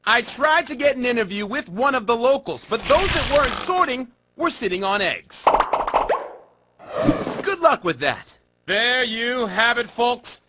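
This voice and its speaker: Brief: a penguin sports anchor, very high and bouncy, with wry broadcast banter over music. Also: male voice, very animated, measured pace, very noisy, full character voice. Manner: wry, upbeat newscaster banter. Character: bright chatty male penguin TV sports reporter. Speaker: news anchor. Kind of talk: game cutscene broadcast patter. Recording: music bed behind.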